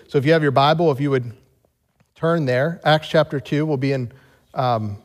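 An American man preaching as a pastor, moving between scripture reading and personal storytelling. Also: mid-range voice. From United States. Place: large reverberant room, speaking to a congregation. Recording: long hall reverb.

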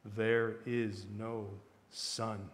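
A man voicing a cunning witch queen in a children's fantasy audiobook, soft and cooing, with slow, silky, condescending courtesy. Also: on the low side, flat delivery, big-room sound, voice pushed down. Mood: soft, hypnotic.

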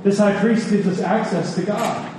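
A man preaching a sermon in an earnest, emphatic way, repeating phrases deliberately for weight. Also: high voice. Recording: echoey hall, distant, faint noise.

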